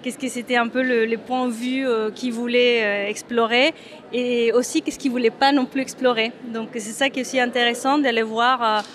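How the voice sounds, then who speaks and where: Femme mexicaine hésitante, voix assez aiguë. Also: female voice, a little high, steady tone, normal speed. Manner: hesitant, many fillers and restarts. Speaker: photographer. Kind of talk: interview at an exhibition opening.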